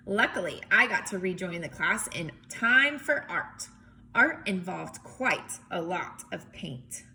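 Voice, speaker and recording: Expressive woman reading aloud, bright voice. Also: mid-range voice, gravelly, slight background noise. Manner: animated, emphatic reading with chatty commentary. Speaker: teacher or reader reading a picture book aloud. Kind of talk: expressive storybook read-aloud with casual asides to children.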